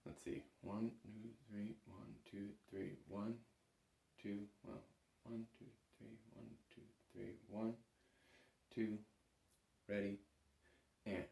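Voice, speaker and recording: A man's voice: on the low side, level tone, takes it slowly, gravelly, long pauses, dark tone. A man giving a casual spoken music lesson as an instructor, in an easygoing, reassuring manner. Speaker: bagpipe instructor. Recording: echoey room.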